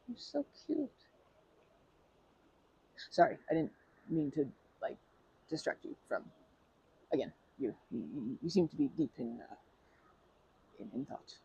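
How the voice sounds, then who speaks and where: Gravelly breathy woman, asmr roleplay, lively pitch, lots of ums and restarts, goes whispery. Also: takes it slowly, long pauses, poor audio. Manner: rambling, hesitant, many fillers and restarts; soft-spoken, drops to whispers.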